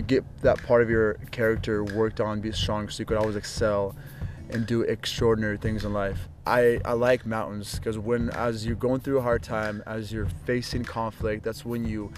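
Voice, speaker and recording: Flat-voiced man from Ukraine, music under. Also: on the low side. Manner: earnest, speaks in repeated short declarations. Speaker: interviewee, model. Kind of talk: earnest personal interview.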